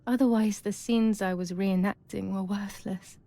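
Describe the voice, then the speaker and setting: A woman playing a troubled, brooding young woman in a wartime psychological game, narrating in a measured, dramatic, introspective way. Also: mid-range voice, steady tone, creaky, dark tone, lightly acted. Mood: wistful, reflective. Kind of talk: scripted first-person game narration.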